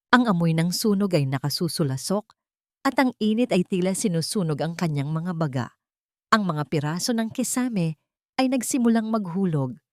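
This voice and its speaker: A woman delivers scripted, dramatic story narration. Her voice sits fairly low for a woman, and the sound is dry and close. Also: darkish tone, no echo.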